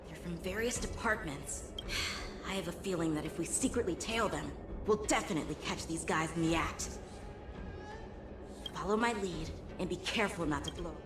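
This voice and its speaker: A woman voicing a cocky, excitable, earnest boy swordsman in a video game, with a dramatic delivery. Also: lowish voice, moderate pace, lightly acted. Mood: eager, plotting. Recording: roomy echo with music behind.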